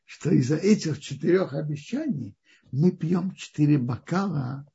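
A man with a very husky, gravelly voice, pitched a little high, teaches the weekly Torah portion. He talks haltingly, thinking aloud and restarting phrases. The recording sounds dull and lo-fi. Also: lively intonation, mellow.

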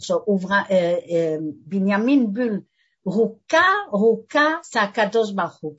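An older woman whose first language is French, teaching a religious lesson and often pausing to search for words. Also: mid-range voice, husky, dull audio.

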